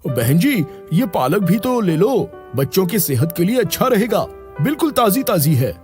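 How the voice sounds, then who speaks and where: A man voicing a humble, hardworking village vegetable seller who speaks gently and earnestly, with music behind. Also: high voice, sing-song, voice pushed up. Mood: coaxing. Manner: gentle, earnest; drawn-out hawking calls. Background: background music.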